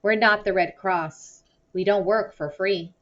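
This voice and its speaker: A woman's voice: mid-range voice, unhurried, highs cut off, lightly acted. A woman voicing a dismissive, businesslike older male lawyer in a children's novel read-aloud. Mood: curt. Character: curt, money-minded older male immigration lawyer.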